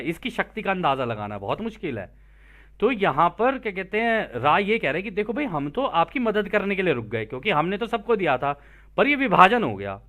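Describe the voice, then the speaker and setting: An Indian man with a fairly high voice and very lively intonation. He presents spiritual teachings informally, moving between reading out lines and explaining them. He talks to his listeners like friends and often repeats a point in other words.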